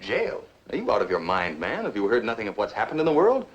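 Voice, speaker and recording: An actor playing an indignant, self-assured scientist with a brisk, challenging manner in 1950s film style. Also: male voice, lowish voice, huge pitch swings. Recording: muffled, noisy 1950s film soundtrack.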